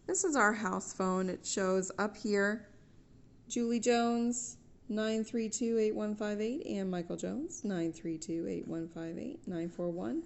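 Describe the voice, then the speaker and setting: A woman's voice: medium pitch, slow, creaky. A woman with an American accent giving a casual, patient, step-by-step how-to at home. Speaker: family member giving a phone tutorial. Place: indoors at home.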